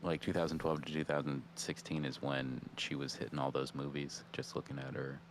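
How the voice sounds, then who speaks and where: A man's voice: rumbling low, flat delivery, fairly quick. A man with an American accent chatting casually as a podcast co-host, agreeable and loose in his commentary. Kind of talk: casual chat, reacting and commenting. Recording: noticeable background ambience noise.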